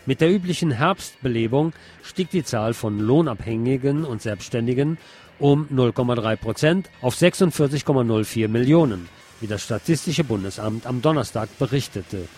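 A man's voice: mid-range voice, expressive, moderate pace, darkish tone. A man reading scripted news in the role of a radio news presenter.

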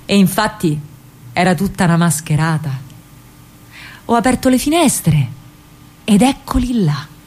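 A woman playing a disillusioned, fatherly older Roman man in a radio monologue, speaking gravely and deliberately in a hushed way with a Roman accent. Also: medium pitch, expressive. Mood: disillusioned, intense.

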